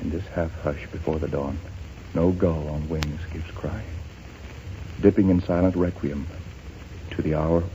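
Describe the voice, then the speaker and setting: An actor playing a calm, protective romantic hero in a 1930s radio drama, measured and reassuring. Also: male voice, rumbling low. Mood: solemn, tender. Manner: soft-spoken, reassuring, measured. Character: calm, protective romantic leading man, ship passenger. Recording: muffled, hissy 1930s radio broadcast.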